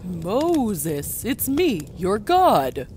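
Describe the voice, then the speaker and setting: A woman plays the voice of God in a burning bush for a kids' puppet show. It is emphatic and encouraging, very slow and swooping, with some noise behind it. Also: medium pitch, wildly swooping pitch, darkish tone, full character voice. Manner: emphatic, coaxing. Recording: noticeable background noise.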